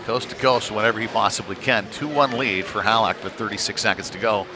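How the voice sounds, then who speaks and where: A man doing live play-by-play of a youth hockey game, talking in a halting, conversational way with folksy slang. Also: on the low side, steady tone. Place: indoor ice rink during a live game. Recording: noisy rink, clear background ambience. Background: rink noise and game ambience.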